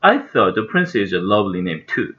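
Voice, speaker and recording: A man voicing a calm, firm mother who speaks in a measured way. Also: lowish voice. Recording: dull lo-fi recording.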